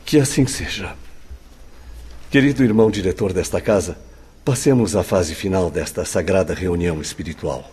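Low, reverberant, solemn spirit guide. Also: male voice, darkish tone, slightly rough. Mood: formal, solemn. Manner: formal, measured, instructive. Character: solemn male spirit guide, calm and authoritative. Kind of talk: radio drama acting. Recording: long hall reverb, background noise.

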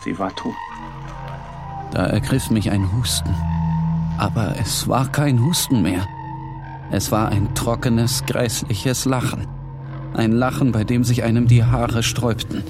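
A man playing a tormented, half-mad painter in a dramatic literary narration, speaking with slow, halting intensity. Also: medium pitch, steady tone, over loud music, lightly acted. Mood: shaken, dread. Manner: slow, halting, intense, dropping at times to whispers.

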